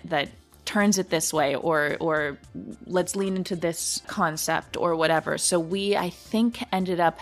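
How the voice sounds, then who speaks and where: A woman's voice: deep voice, a bit bright. A woman chatting casually as a podcast guest about her creative work, earnest and enthusiastic. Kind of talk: casual interview chat.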